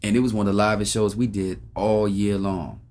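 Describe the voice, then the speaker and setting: A man's voice: lowish voice, little pitch movement, a little fast, darkish tone. A man who grew up in Ethiopia, speaking earnestly and reflectively as a guest in a casual interview. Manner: earnest and reflective, recites rhymes. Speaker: guest musician.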